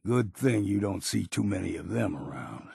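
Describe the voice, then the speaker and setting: A grizzled old male hunter and guide for a video game. The voice is low and thick with rasp, close and dry. He talks in a folksy, laconic way, like a hard drinker who knows the wilds. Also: animated, moderate pace, mellow, no echo, exaggerated voice. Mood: grizzled, matter-of-fact. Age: older. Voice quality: gravelly, raspy. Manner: folksy, dropped endings, laconic. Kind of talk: video game character acting.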